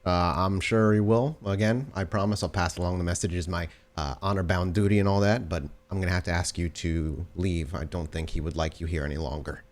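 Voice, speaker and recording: Man acting as evasive male doorman, low voice, dryly polite, guarded, tabletop roleplay. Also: steady tone, lightly acted. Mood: dry, dismissive. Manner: measured, stonewalling, sardonic politeness.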